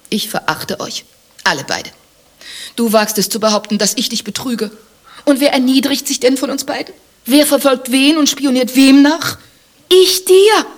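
An actress playing a haughty, sardonic wife accused of adultery in a satirical German radio play, mocking and theatrically indignant. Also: female voice, sits high. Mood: indignant, contemptuous.

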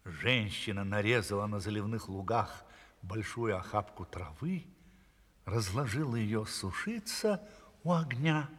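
Husky man storyteller in deep reverb. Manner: theatrical, drawn-out storytelling. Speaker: narrator. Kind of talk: dramatized fairy tale narration. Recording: long reverberant hall.

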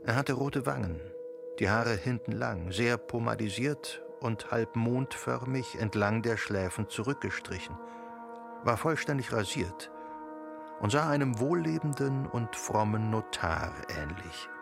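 A male narrator delivers literary radio-drama prose with deliberate, measured phrasing. His mid-pitched voice has a marked gravelly creak, and music plays clearly behind him. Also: lively intonation.

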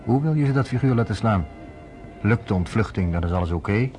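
A man acting low-voiced, wry, curious newspaperman. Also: expressive, brisk, a little mellow, soft background music, close to an everyday voice. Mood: sceptical, probing. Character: curious, wry newspaperman, sceptical but game. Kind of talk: radio play acting.

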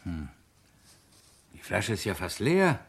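Male police inspector: polite but authoritative, probing, animated pitch, radio crime drama. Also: slow pace, gravelly, slightly rough, exaggerated voice. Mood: inquisitive. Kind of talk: German radio crime play acting.

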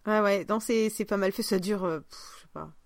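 A woman's voice: mid-range voice, a little fast, no echo. Woman in casual chat, dry close sound. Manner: chatty, trails off mid-thought. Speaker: podcast host.

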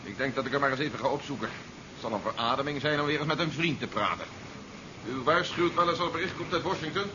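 Man acting fast-talking, stubborn general, noisy old recording. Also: fairly high pitch, lightly acted. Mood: sceptical, self-assured. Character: suspicious, stubborn, self-assured male army general. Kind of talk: 1970s Dutch science fiction radio play acting. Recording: dull, noisy 1970s radio drama recording.

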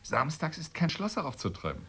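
A man's voice: a little high, huge pitch swings, brisk, fairly bright tone, slightly rough, heavily acted. An actor playing an irritable, sarcastic husband in a radio play, blunt and needling in his delivery. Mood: irritated, sardonic. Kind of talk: German radio play acting.

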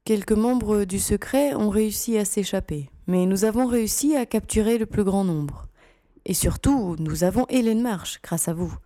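A woman voices a serious security officer in an audio drama. Her voice is medium in pitch, mellow and recorded dry. She reports in a deliberate, sober way. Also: no echo, natural voice. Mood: composed.

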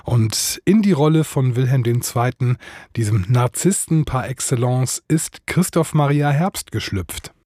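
A man's voice: mid-range voice, expressive, unhurried, warm tone, no room sound. A man presenting a scripted radio introduction.

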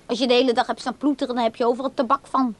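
A woman's voice: a little high, little pitch movement, rapid-fire, close to an everyday voice. A woman playing a curt, indifferent, tired working woman in a radio play, terse and dismissive. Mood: offhand, dismissive. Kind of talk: Dutch radio play acting.

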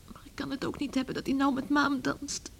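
A woman's voice: sits high, level tone, brisk, creaky. An actress playing a headstrong, troubled young village woman in a radio play, theatrical and emotional. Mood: troubled brooding. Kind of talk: Dutch radio play acting. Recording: noisy old radio drama recording.

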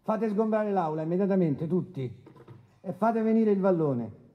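An actor playing a brisk, dismissive presiding judge who interrupts and repeats himself in a courtroom drama. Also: male voice, high voice, lively intonation. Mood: officious. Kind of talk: stage-style courtroom radio drama. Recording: dull sound in a reverberant hall.

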